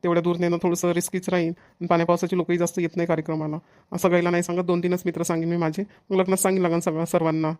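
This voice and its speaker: Man acting an eager, fast-talking young bridegroom. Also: high-pitched, little pitch movement, a little mellow, smooth, natural voice. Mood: earnest, dutiful. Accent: Vidarbha Marathi. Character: eager young village bridegroom, a dutiful son. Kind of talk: amateur cartoon dialogue.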